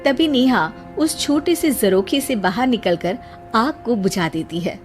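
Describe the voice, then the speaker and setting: A woman reading scripted narration as the storyteller of an animated moral tale. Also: a little high, unhurried, faint music behind.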